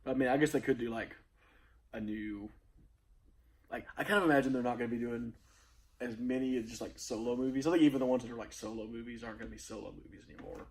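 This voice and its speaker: A man's voice: mid-range voice, steady tone, quick pace, poor audio. A young man as a guest in a casual movie chat, laid-back and laughing often.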